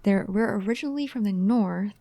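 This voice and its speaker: A young woman co-hosting a casual podcast chat, talking softly and often dropping to a near whisper. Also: mid-range voice, a little fast, no echo. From family of Chinese ancestry raised in India. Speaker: podcast co-host.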